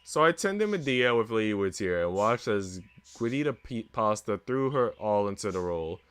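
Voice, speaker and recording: Man as modest young woman, visual novel narrator, formal, reflective, animated pitch. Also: normal speed, clean tone. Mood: fond, reflective. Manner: formal, earnest, reflective storytelling. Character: young woman narrator, earnest, modest, well-mannered housemaid's daughter.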